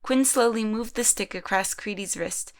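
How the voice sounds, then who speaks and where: Female narrator, scripted storytelling, middle pitch, audio drama. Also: normal speed.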